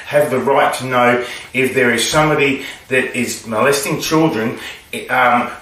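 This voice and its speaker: A man from Australia in casual conversation, speaking in a halting, questioning way. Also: mid-range voice, a bit bright. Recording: very echoey, distant mic, clear background noise.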